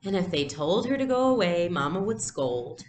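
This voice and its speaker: A woman's voice: fairly low pitch, big pitch swings, moderate pace. A woman reading a storybook aloud expressively, like a teacher, in an indoor room. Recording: echoey room, faint background noise.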